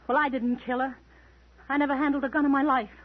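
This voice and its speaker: An actress playing a distraught, defensive wife who speaks with indignant emphasis in an old-time radio melodrama. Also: female voice, high voice, flat delivery, fast, natural voice. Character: distraught, defensive wife of a murder suspect's circle. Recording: tinny old radio recording.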